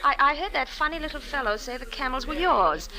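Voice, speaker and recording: Woman tourist, vintage radio drama: clear bright tone, polite, inquisitive, quick to apologize. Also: high voice, lively intonation, full character voice, rough recording. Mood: friendly, apologetic. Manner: polite, slightly flustered. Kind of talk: old-time radio drama acting.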